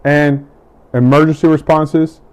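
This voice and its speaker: A middle-aged man from the United States giving a measured, deliberate campaign speech, pausing between each item he lists. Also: fairly high pitch, level tone, darkish tone, clean tone. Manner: measured, deliberate, with long pauses between list items. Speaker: political hopeful.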